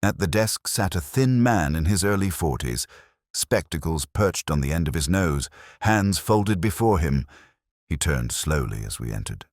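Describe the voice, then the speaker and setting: A male audiobook narrator with a very low voice. He tells a detective story in a measured, dramatic way, with expressive swings in pitch. The sound is close and dry. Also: dry recording.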